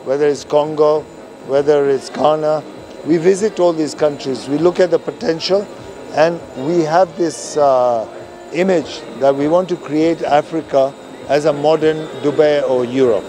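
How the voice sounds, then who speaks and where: A man's voice: fairly high pitch, unhurried, music in the background. A man, a property developer, speaking in sweeping visionary terms in an interview soundbite. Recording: crowd noise behind.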